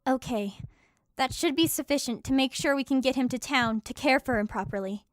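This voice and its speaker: A woman's voice: sits high, a little fast, no echo, natural voice. A woman playing a practical, caring and slightly wry female healer in a fantasy audio drama.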